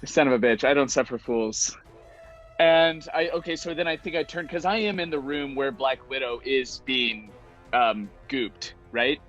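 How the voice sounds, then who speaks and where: A man's voice: medium pitch, normal speed, fairly bright tone. Dull-sounding recording of a playful male gamer. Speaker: player in a tabletop roleplaying game. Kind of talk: casual, playful game chat. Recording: slightly dull lo-fi mic, music behind.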